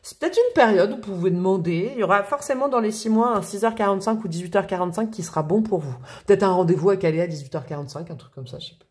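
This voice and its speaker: A chatty woman talks straight to her viewers during a tarot reading. Her delivery is lively and expressive, with playful stresses and the odd laugh. Her pitch sits mid-range. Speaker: tarot reader. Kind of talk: casual tarot reading to camera.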